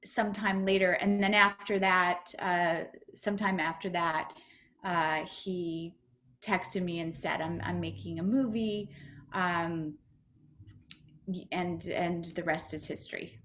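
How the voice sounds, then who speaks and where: A woman's voice: on the low side, fairly even intonation, takes it slowly, fairly bright tone, a little hiss or hum. A woman comedian and actor answering interview questions over a video call, chatty and upbeat, with lots of fillers and restarts.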